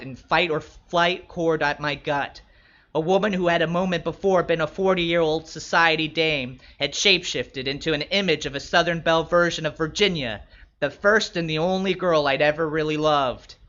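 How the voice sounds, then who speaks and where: A man plays a wary young escort telling his story in the first person. The voice is bright and forceful, a bit high, with a wry, measured delivery. The recording is slightly dull. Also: steady tone. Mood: wary, suspicious. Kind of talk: first-person literary narration.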